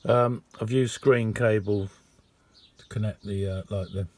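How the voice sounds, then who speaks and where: A middle-aged man, giving a casual, halting and unhurried hands-on demonstration as a radio hobbyist. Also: on the low side, steady tone. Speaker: radio hobbyist showing a homemade receiver. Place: indoor hobby radio room.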